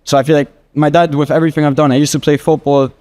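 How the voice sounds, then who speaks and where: A man's voice: fairly high pitch, little pitch movement, very fast, darkish tone. A man of twenty answering interview questions in a casual, earnest way, with lots of filler words. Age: 20s. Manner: earnest, rambling with frequent "like" fillers. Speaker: guest trader.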